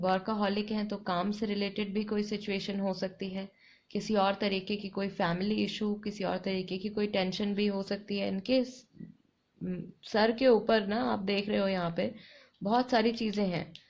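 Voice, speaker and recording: A woman with an Indian accent reads tarot cards for her viewers in a mid-range voice, slightly hoarse from a sore throat. She talks in an unhurried, reflective way and circles back over her points. The audio is somewhat dull and lo-fi. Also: mid-range voice, steady tone, a little mellow. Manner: unhurried, reflective, repeats phrases for emphasis, addresses viewers directly. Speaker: tarot reader. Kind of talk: pick-a-card tarot reading to viewers.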